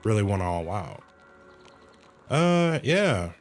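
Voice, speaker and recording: Man, game streamer, slightly deep voice, slow pace, casual read-aloud, slangy reactions, self-corrections, soft music behind. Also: big pitch swings. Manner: slangy, stumbles and corrects while reading. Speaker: livestreamer reading game dialogue aloud. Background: video game music.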